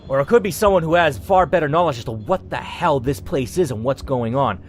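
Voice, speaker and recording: A man's voice: medium pitch, expressive, fairly quick, slight background noise. A young man hosting a gaming channel, reading an interactive story game aloud and breaking off into casual, swearing asides.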